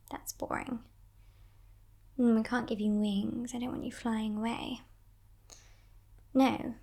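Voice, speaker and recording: A woman voicing a teasing, possessive female demon captor in a breathy, mock-polite whisper, as ASMR roleplay. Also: on the high side, exaggerated voice. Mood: teasing, condescending, then possessive, amused. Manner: slow, hushed, mock-polite, condescending.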